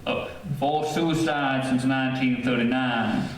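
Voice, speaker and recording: A man's voice: medium pitch, fairly even intonation, unhurried, close to an everyday voice. A man playing a matter-of-fact, folksy real estate agent in an old-time radio drama. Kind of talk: 1940s radio acting. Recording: distant, very echoey room with faint noise.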